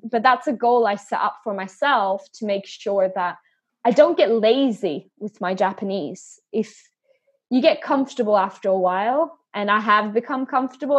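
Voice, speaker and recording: A woman with a middle-pitched voice speaks in an interview. She is earnest and often repeats a word as she starts a sentence. The audio is slightly muffled and lo-fi. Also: slightly rough. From Sweden and England. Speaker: podcast guest. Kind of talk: conversational interview.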